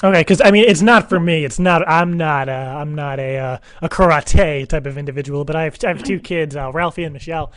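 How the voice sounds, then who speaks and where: A man's voice: fairly high pitch, a bit bright, dry recording, close to an everyday voice. A man playing a rambling, clueless, doting single dad on a prank phone call, stumbling and repeating his questions. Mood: earnest, rambling. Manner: halting, repetitive, stumbling questions. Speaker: radio show prankster.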